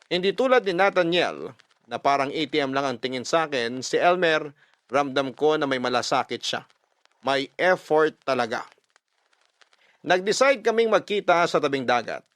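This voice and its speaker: A man narrating a first-person love story dramatically, expressive and emphatic, mixing Tagalog and English. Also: fairly high pitch, dry recording. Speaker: storyteller reading a listener's letter.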